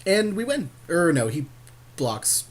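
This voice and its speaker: A man's voice: on the high side, sing-song, slow pace. A man co-hosting a game session in casual chat, readily agreeing with and affirming his partner's suggestions. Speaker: podcast co-host and card game player.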